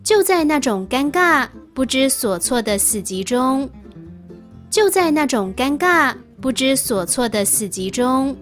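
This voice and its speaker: Female reader for scripted story lines: high-pitched, very lively melody. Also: a little slow, soft background music. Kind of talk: scripted reading of story sentences.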